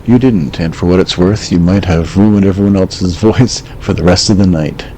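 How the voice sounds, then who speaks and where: A man playing a deep, gravelly late-night radio host. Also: dark tone, slight background noise. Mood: dryly wry. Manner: measured, reflective, understated. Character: calm, wry male host of an eerie late-night call-in show.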